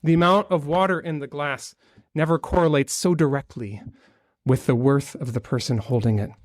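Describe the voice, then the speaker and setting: A man's voice: on the high side, moderate pace, dry recording. Male minister, conversational sermon, light wry humor, dry pickup. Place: church sanctuary.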